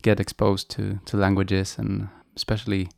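Gravelly middle-aged man. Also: lowish voice, completely flat delivery, normal speed, dry recording, clean studio sound. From Sweden. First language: Swedish. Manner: hesitant, soft-spoken, many restarts, light laughs. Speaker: guest, musician. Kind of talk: podcast interview.

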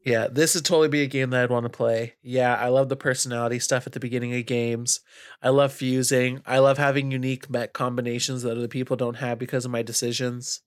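A man's voice: medium pitch, level tone, quick pace, clear, resonant tone, dry recording, studio quality. A man co-hosting a casual podcast, with a nasal, stuffed-up voice and an upbeat, riffing manner. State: stuffy nose. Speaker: podcast co-host.

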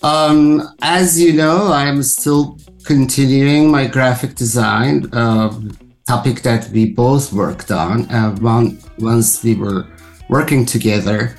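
A man in his sixties from Iran speaks as a podcast guest, slow and deliberate in his pace. He pauses often and fills gaps with "uh", heard over a video call with faint guitar music behind. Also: medium pitch, fairly even intonation, poor audio. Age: 60s. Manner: slow and thoughtful, with fillers. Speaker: guest artist. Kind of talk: casual interview talk.

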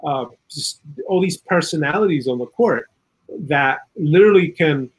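Guy from south america, kinda high husky voice, chatting politics, earnest and opinionated, says you know a lot. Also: expressive, moderate pace, rough recording. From South America, Chile. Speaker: interview guest, political and legal commentator. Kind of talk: conversational political commentary.

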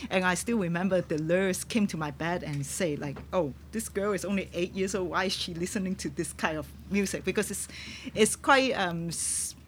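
A woman whose first language is Cantonese speaks English with a Hong Kong accent, in a lower register for a woman. She talks haltingly, pausing to find her words, as a guest on a music podcast. Faint noise sits behind her voice. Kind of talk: podcast conversation. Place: on a boat in a harbour.

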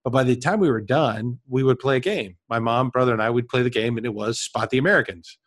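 A man's voice: mid-range voice, fast, fairly bright tone, no room sound. Man giving reflective examples, dry sound. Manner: reflective, explains with personal examples. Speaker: podcast co-host. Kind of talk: casual discussion.

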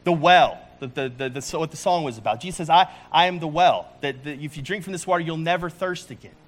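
Man in his forties, sermon, chatty delivery, slightly higher pitch. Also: quick pace. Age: 40s. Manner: conversational, with frequent restarts and "like". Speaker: pastor.

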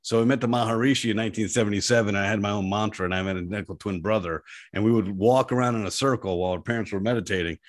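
A man with a deep voice talking in a casual interview, an animated and self-deprecating storyteller. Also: very fast. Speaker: guest, app founder.

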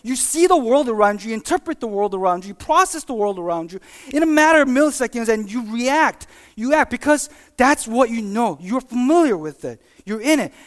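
A preacher giving a conversational sermon with personal stories, talking in a halting, informal way and often checking in with listeners. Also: medium pitch, very animated. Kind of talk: conversational sermon with personal anecdotes and acted-out examples.

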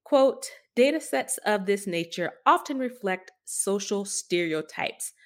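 A woman's voice: mid-range voice, expressive, unhurried. A woman co-hosting a podcast, giving conversational, emphatic and skeptical commentary on tech news. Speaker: podcast co-host.

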